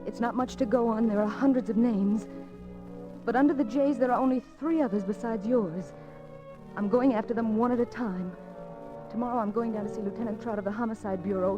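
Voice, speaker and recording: An actress playing a devoted, determined wife with an earnest, emotional manner in a 1940s radio drama. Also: female voice, fairly high pitch, lightly acted. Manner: earnest, emotional, breathlessly urgent. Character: devoted, determined young wife fighting for her condemned husband. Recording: muffled old radio recording with music.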